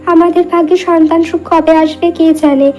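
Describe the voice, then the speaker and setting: A woman voicing a fretful but soft-hearted village wife in a cartoon. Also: extremely high pitch, flat delivery, normal speed, soft background music. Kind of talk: cartoon character acting.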